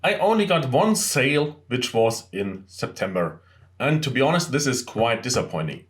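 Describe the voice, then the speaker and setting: A man from central Europe for whom English is a second language, talking in an earnest, plain-spoken way with many small fillers and restarts. His voice sits at an everyday middle pitch. The room around him sounds echoey. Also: big pitch swings, moderate pace. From small country in central Europe. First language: not English. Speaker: side-hustle vlogger. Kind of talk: casual screen-share explainer.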